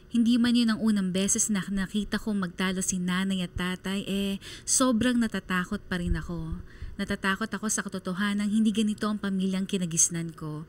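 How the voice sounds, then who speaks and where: A woman's voice: medium pitch, lightly acted. An actress playing a sorrowful daughter who earnestly narrates her family's story in a radio drama. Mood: heartbroken.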